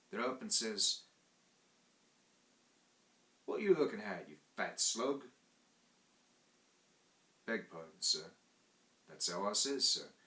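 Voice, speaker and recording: A man voicing a blunt young Cockney servant in a read-aloud story, in rough working-class speech full of "sir". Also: lowish voice, bright, forceful tone, heavily acted. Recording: roomy echo.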